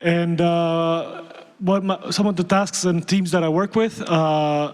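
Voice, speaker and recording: Halting high-voiced man from Austria. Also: steady tone. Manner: halting, many fillers, light joking. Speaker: board member on a panel. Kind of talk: panel introduction. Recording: long hall reverb.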